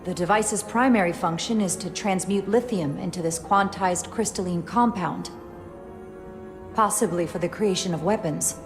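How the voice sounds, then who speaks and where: An actress playing a focused, capable science officer in a sci-fi video game, speaking precisely and earnestly. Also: female voice, fairly low pitch, normal speed, close to an everyday voice. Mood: analytical. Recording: loud game music underneath. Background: game soundtrack.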